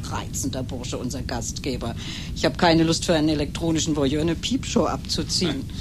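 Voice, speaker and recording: An actress playing a sharp-tongued, skeptical wife in a German radio play, dry, direct and scornful in manner. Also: female voice, low-pitched, brisk, close to an everyday voice. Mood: scornful, sarcastic. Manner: dry, sarcastic. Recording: 1980s radio drama with music.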